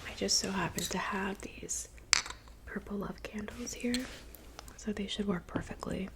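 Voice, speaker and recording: A woman playing a calm, helpful woodland witch in a soft, whispered ASMR roleplay, unhurried and murmuring. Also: mid-range voice, close to an everyday voice. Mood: calm, welcoming. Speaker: ASMR performer.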